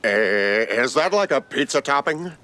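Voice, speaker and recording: A man's voice: mid-range voice, lively intonation, a little slow, bright, forceful tone. A man voicing a cocky, evasive mobster in a cartoon game, with an Italian-American accent and flippant, nervy wisecracks. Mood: nervous bluffing.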